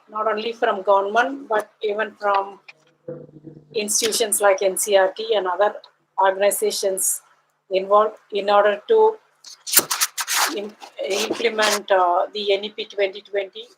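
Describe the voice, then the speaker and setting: A woman who speaks Tamil natively, chairing an academic session and answering questions in a measured, halting way with frequent fillers. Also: medium pitch, a little hiss or hum, rough recording.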